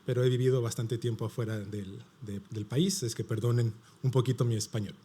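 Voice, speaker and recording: A man from Mexico with a Mexican Spanish accent gives a talk at a conference panel. His voice is warm and mellow, with an ordinary pitch for a man. He explains things step by step, often asking a question and then answering it himself. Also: steady tone, a little fast, breathy edge. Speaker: panel speaker. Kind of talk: conference presentation.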